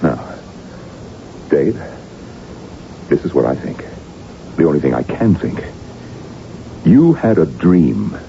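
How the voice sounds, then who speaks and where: A man's voice: sing-song, takes it slowly, gravelly, long pauses, mellow, exaggerated voice. A male actor playing an exasperated, earnest doctor and loyal friend in a 1980s radio drama, reasoning emphatically. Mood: exasperated, firm. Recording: dull, rumbling, noisy old radio recording.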